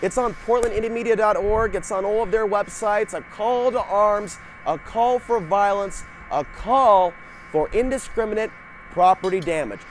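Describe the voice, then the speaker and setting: A man's voice: high-pitched, moderate pace. An American man hosting a TV show with earnest, emphatic political commentary and rhetorical questions. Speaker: public-access TV show host. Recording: noisy archive TV show audio.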